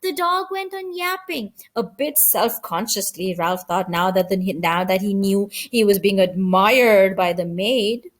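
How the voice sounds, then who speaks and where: A woman reading a children's story aloud as an audiobook narrator, with expressive delivery. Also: medium pitch, measured pace, hardly any pauses. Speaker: audiobook reader.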